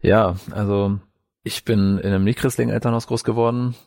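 A man from northern Bavaria telling his life story as an interview guest, halting as he searches for words. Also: on the low side, fairly even intonation, darkish tone, no room sound, clean studio sound. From northern Bavaria, Franconia, Germany. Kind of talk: personal life story in conversation.

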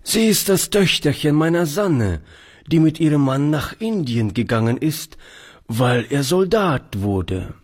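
A man voicing a kindly old grandfather who speaks in an earnest, gentle, tremulous way. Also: on the high side, expressive, unhurried, husky, pitched above the performer's own voice. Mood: explaining.

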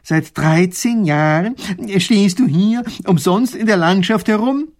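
A man voicing a clever old professor who speaks slowly and thoughtfully, with hesitant pauses. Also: a little high, very animated, vocal fry, a little mellow, slightly rough, voice pushed up, exaggerated voice. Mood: pondering. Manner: slow, pondering delivery with hesitations. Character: clever, impatient old male professor-minister.

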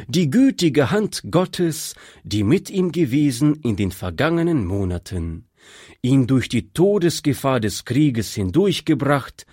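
Male audiobook narrator, slow and measured, husky, lively pitch, dry close mic. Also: no room sound. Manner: slow, measured, devout storytelling.

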